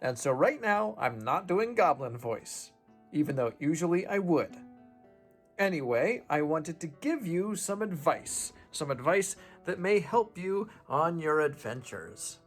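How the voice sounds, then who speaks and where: A man playing a male goblin engineer in his own voice, slowly giving halting advice while recovering from a sore throat. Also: on the high side, lively intonation, faint music behind. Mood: advising. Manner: slow, halting advice-giving with pauses and fillers. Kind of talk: improvised tabletop roleplay monologue.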